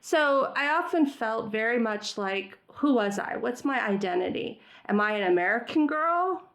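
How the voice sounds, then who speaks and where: A woman's voice: a little high, lively intonation, normal speed, fairly bright tone. A middle-aged woman whose first language is Farsi, talking reflectively in an interview with many fillers and restarts. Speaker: guest. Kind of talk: conversational interview.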